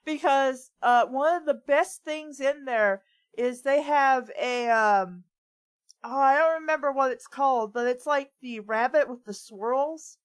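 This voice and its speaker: A woman with a fairly high voice talks casually, as in a group of friends riffing on a story. She is one of the show's readers. The sound is dry, with little room in it. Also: a little slow, clear, resonant tone, no room sound. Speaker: reader on a bad fan fiction read-along show.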